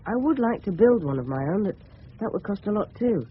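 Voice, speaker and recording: A man's voice: high voice, very animated, fairly quick, husky, exaggerated voice. A male actor playing a polite, earnest, self-conscious English schoolboy of fourteen in a radio drama. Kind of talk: radio drama acting with inner monologue. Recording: noisy tinny old radio recording.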